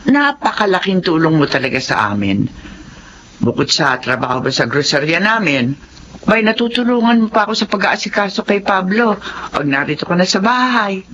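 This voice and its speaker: A woman's voice: fairly low pitch, moderate pace. An actress playing a kindly, generous middle-aged lady employer, effusive in a radio drama. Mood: appreciative, warm. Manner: effusive, animated. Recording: slightly dull, faintly noisy audio.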